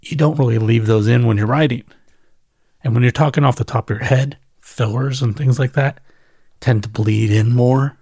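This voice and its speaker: A man's voice: medium pitch, fairly even intonation, moderate pace, warm tone, slightly rough, dry recording. Man talking casually in a dry, close recording. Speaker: podcast host and newsletter writer. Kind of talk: casual reflective solo monologue, off the cuff.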